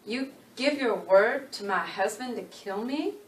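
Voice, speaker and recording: A woman's voice: a little high, expressive, measured pace. An echoing, slightly distant recording carries a grieving country widow played by a woman. She is plain-spoken and folksy, pleading yet defiant, in a dramatic reading of a novel. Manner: folksy rural dialect grammar, pleading. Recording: roomy, distant, faint background noise.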